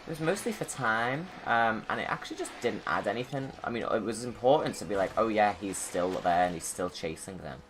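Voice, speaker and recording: Female creator commentator, very low register, lively inflection, informal and rambling, some background noise. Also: fairly quick, few pauses, poor audio. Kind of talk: casual commentary on own game movie. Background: game audio and ambience.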